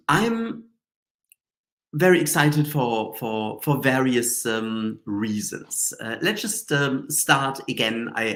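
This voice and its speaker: A man with a cold and a slightly nasal voice, hosting a live stream in a chatty, rambling way with many fillers and restarts. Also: mid-range voice. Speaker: Reiki teacher and show host. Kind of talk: casual live-stream talk.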